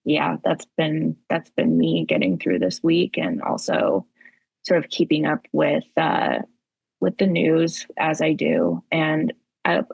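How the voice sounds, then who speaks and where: Woman co-host, raspy tired voice with gravelly edges, dry close sound. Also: low voice, dry recording, lo-fi sound. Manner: candid, halting, many fillers. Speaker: podcast co-host, therapist. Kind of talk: casual podcast chat.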